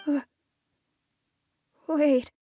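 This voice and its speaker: A woman's voice: extremely slow, mellow, no room sound, clean studio sound. A woman voicing a timid, bewildered young boy in a video game, speaking in a hesitant, vulnerable, childlike way. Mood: groggy, hesitant. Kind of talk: dramatic video game voice acting.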